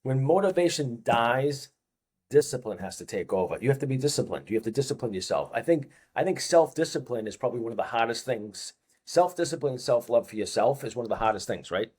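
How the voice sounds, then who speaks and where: A man's voice: mid-range voice, lively intonation, brisk, darkish tone, slightly rough. A man in his fifties with a Boston accent, a fitness coach talking as a podcast guest, stressing his points by repeating phrases. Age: 50s. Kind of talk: casual podcast chat.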